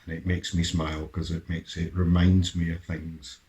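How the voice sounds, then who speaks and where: A middle-aged man giving casual reaction commentary as a show host, halting and musing as he lets thoughts trail off. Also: deep voice, level tone, mellow.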